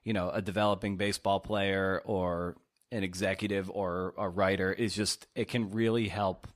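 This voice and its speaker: A man hosting a podcast, talking conversationally and encouragingly, with some hesitant pauses. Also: sits low, flat delivery. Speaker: podcast host. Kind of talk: conversational interview and podcast outro.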